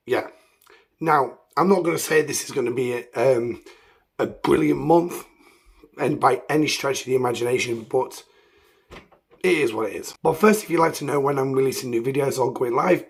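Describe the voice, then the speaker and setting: A man hosts a casual game review, his voice croaky from illness, rambling with ums and self-corrections. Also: medium pitch, normal speed. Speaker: gaming channel host.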